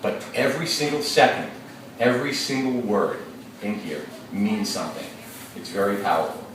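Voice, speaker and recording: A male teacher leading an interactive read-aloud, questioning his class in a lively, engaging way. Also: on the low side, moderate pace. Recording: echoey classroom, distant mic, noisy.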